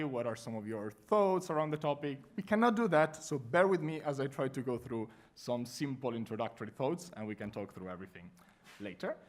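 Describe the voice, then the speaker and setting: A man with an Italian accent presenting a conference workshop to a live audience, talking in a friendly, lively way with frequent fillers. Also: fairly high pitch. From Italy.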